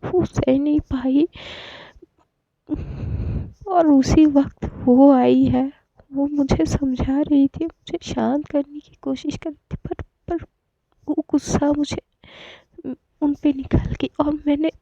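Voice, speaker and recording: A woman's voice: sits high, fairly even intonation, slow pace, gravelly, dark tone, no room sound, muffled recording, pitched above the performer's own voice, exaggerated voice. A woman voicing a tearful, distraught young woman who stammers and pleads. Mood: sobbing.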